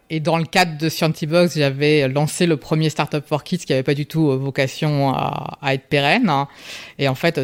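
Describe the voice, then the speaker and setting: A woman in her forties, a startup founder, talking casually and reflectively as an interview guest, often tagging her sentences. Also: sits low. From Paris, France. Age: 40s. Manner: relaxed, reflective, tags sentences with "hein".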